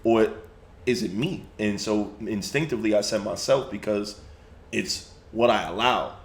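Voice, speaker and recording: A man's voice: lowish voice, a little slow, roomy sound. A man hosting a casual podcast discussion, easygoing as he puts questions to the group. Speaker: podcast host.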